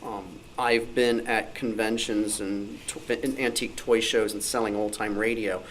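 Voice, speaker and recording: A man talks off the cuff as a panelist at a hobby club meeting. His voice is fairly low but bright and forceful, with faint noise around it. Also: fairly even intonation, a little slow. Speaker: panelist, old-time radio fan. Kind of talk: unscripted panel discussion. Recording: noisy live meeting room recording.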